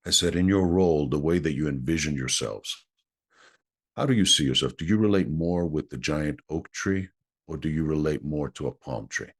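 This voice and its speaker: A man's voice: very deep voice, moderate pace, breathy edge. A man whose first language is Greek, an executive coach answering interview questions in an earnest, explanatory way.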